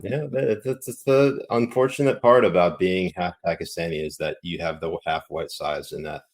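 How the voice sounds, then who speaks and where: Deep-voiced rambling podcast host. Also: male voice, normal speed. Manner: rambling, filler-heavy storytelling. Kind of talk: casual podcast chat.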